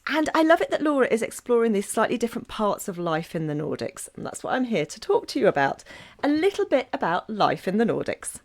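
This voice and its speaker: A woman's voice: a little high, big pitch swings, a little fast. An Englishwoman with an English accent, a guest on a podcast, talking in a chatty way with rhetorical questions.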